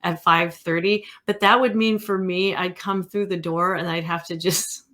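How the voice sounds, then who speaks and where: Low-pitched woman interviewer, conversational. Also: fairly even intonation, normal speed, fairly bright tone. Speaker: interviewer, host.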